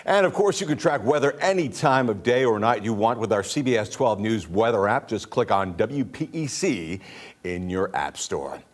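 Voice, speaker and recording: A man's voice: lowish voice, lively intonation, moderate pace. A man anchoring a local TV newscast, reading the script in a polished anchor style. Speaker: news anchor.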